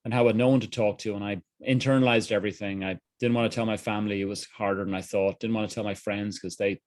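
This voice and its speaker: A man's voice: fairly low pitch, steady tone, fast, no echo, clean studio sound. A middle-aged man with a Dublin Irish accent, speaking candidly as an interview guest, often restarting phrases. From Ireland. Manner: candid, earnest, frequent restarts. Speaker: guest, executive coach. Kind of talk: reflective interview answers.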